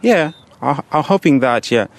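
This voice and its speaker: A man's voice: fairly high pitch, expressive, normal speed, vocal fry. A seventeen-year-old young man from Egypt answering interview questions in a casual, plain-spoken and hesitant way. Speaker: student on a referee course, interviewee.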